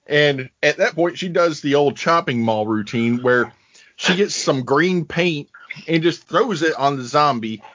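A man's voice: fairly high pitch, unhurried, a bit bright, no room sound, highs cut off. A man co-hosting a podcast, recapping scenes in a dry, sarcastic and profane way. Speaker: podcast co-host. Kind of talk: casual chat, recapping a film.

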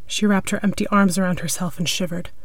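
A woman's voice: on the low side, steady tone, a little fast, dry recording. A woman reading a piece of scripted literary fiction as its narrator. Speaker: story narrator.

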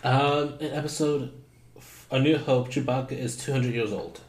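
A man's voice: medium pitch, steady tone, normal speed. A man co-hosting a casual podcast, laid-back and giving short, dry replies. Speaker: podcast co-host. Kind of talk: casual chat. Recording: roomy echo.

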